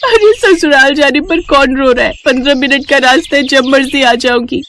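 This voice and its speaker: A woman's voice: high voice, normal speed, fairly bright tone, slight background noise, pitched above the performer's own voice. A woman voicing a stubborn, hot-tempered, heavyset young bride-to-be in a cartoon story, indignant and whining. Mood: impatient, brash.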